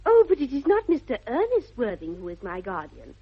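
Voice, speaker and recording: Breathy, demure English young lady. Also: on the high side, wildly swooping pitch, brisk, full character voice. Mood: demure, confiding. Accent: upper-class English. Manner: demure, polite, carefully formal. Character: sweet, prim, self-possessed young English girl of good family. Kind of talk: 1960s radio comedy of manners acting. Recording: dull vintage 1960s radio recording.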